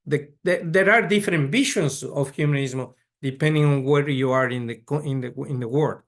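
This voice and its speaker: Measured man lecturing. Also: on the high side. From Ecuador. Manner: measured, frequent restarts and repeated words. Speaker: pediatric neurologist lecturer. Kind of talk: conference lecture.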